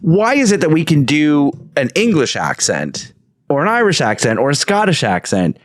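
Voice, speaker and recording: A man's voice: a little high, very animated, moderate pace, no echo. A man co-hosting a podcast, teasing his friends and sometimes reading articles aloud. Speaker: podcast co-host. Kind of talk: casual chat.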